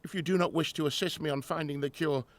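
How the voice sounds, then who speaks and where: A man acting in a radio drama as a middle-aged 1920s professor and grieving father, formal and earnest, halting when troubled. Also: a little high, fairly even intonation, noisy background, natural voice. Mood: bitter, angry.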